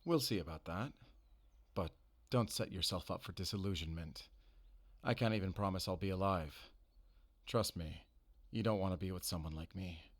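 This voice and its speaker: A man acting in an audio roleplay as a guarded, middle-aged werewolf hunter, weary and stern yet gentle, speaking in a measured, earnest way. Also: low voice, no echo. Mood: reluctant, guarded.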